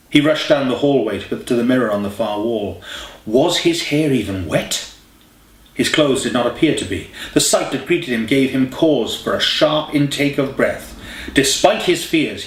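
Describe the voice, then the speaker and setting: A man reading a scripted story as an audiobook narrator. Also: medium pitch. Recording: roomy, echoey home recording.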